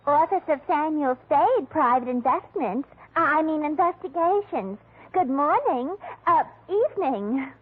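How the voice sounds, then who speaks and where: An actress playing a scatterbrained, prim secretary who mangles big words in affectedly formal 1940s radio comedy style. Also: female voice, high voice, expressive, unhurried, slight background noise. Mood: prim, officious. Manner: affectedly formal, full of malapropisms. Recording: muffled vintage 1940s radio recording.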